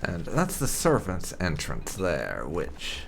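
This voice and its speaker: Very deep, creaky-voiced man commentating a game. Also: huge pitch swings, takes it slowly, slight background noise. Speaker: gaming video host. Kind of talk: casual roleplay game commentary. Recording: game music behind the voice. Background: video game music.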